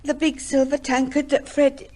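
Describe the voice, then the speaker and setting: A woman's voice: high voice, flat delivery, normal speed, a little mellow, natural voice. An actress playing a timid, deferential young working woman in an old-time radio drama, speaking hesitantly with stammers. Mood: nervous, hesitant.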